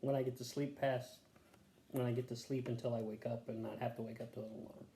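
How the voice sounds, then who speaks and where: A man answering health questions as a patient, casual and matter-of-fact, pausing to think in short answers. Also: medium pitch, flat delivery, dark tone. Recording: echoey room with faint background noise.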